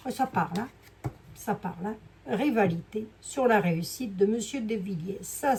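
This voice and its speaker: A woman with a hoarse voice giving a casual, rambling card reading, full of fillers and asides to her viewers. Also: mid-range voice, dark tone. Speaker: clairvoyant doing a card reading. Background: fan noise.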